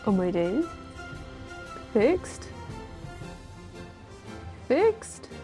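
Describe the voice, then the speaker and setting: A woman's voice: a little high, sing-song, very slow, warm tone, soft background music. A woman streamer chatting casually on a livestream while playing a story game.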